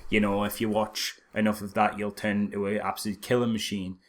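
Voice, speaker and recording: A man's voice: lowish voice, level tone, fairly quick. A man co-hosting a casual film review chat, speaking in a sarcastic, incredulous way with frequent asides. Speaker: podcast co-host.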